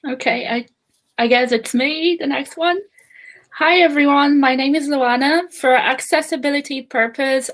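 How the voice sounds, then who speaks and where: Woman with a higher voice. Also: fairly bright tone. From Brazil. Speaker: panelist, product designer. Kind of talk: casual self-introduction. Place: attic room.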